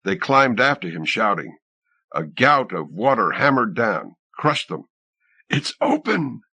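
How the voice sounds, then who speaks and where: Low-voiced male narrator, very dry recording. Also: big pitch swings, measured pace, bright, forceful tone, slightly rough, no echo. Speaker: audiobook narrator. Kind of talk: dramatic scripted fiction narration.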